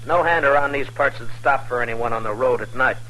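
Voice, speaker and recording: A man's voice: mid-range voice, fairly quick, bright, forceful tone, close to an everyday voice. A man playing a stern, commanding lawman in an old-time radio western, terse and authoritative. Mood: accusing. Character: stern, commanding frontier lawman, blunt and unflinching. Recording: noisy old radio recording.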